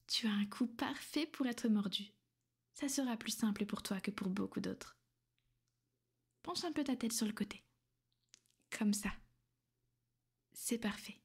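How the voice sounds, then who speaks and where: A woman voicing a gentle, possessive female vampire in an ASMR roleplay. She speaks softly and intimately, often in a half-whisper, coaxing and reassuring. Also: a little high, breathy edge.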